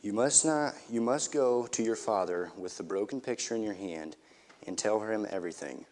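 A man reading the lines of a firm but gentle older nanny in a storybook, in an earnest voice with a few restarts. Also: lowish voice, normal speed, natural voice, poor audio.

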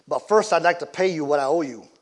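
A man voicing a soft-spoken farmer father who answers calmly and apologetically. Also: on the high side, quick pace, close to an everyday voice. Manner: quiet, apologetic, calm.

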